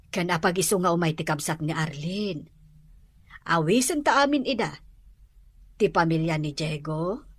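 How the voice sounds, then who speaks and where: A woman's voice: low-pitched, breathy edge, dry recording, heavily acted. A woman playing a gracious, hospitable married madam of the house in a drama, with an Ilocano accent. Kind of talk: acted dialogue in an Ilocano drama.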